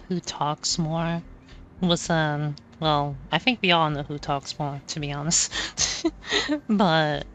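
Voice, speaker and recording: A woman doing casual gameplay commentary, rambling and halting as she repeats words. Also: low-pitched, a bit bright, slight background noise. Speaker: video game streamer.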